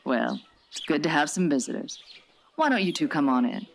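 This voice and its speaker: An actress playing a hospitable, folksy frontier farm wife, plainspoken and steady, in a radio western parody. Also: female voice, low-pitched, normal speed, creaky, noisy background. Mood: welcoming.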